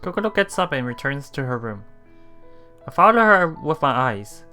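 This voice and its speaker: A let's play host casually commenting on a visual novel and reading its lines aloud in a halting, chuckling way. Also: mid-range voice, very animated, sharp tone. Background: visual novel background music.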